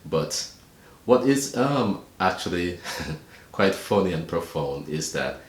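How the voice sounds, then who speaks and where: Jamaican man in his 60s, very deep, measured and earnest, echoey room. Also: very animated. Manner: measured, earnest, pausing often. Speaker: video blogger and church deacon. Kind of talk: earnest talk to camera. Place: room at home.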